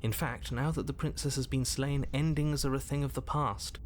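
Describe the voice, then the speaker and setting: A man performs a smug storybook narrator for a horror game. He is measured, articulate and theatrical, mid-pitched, with an air of control and faint noise behind him. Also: steady tone, lightly acted.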